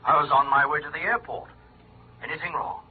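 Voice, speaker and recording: An actor playing a breezy, evasive male swindler in an old radio drama, hurried and offhand. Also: fairly high pitch, steady tone, close to an everyday voice. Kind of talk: 1940s radio drama acting. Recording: tinny, noisy old phone-line effect.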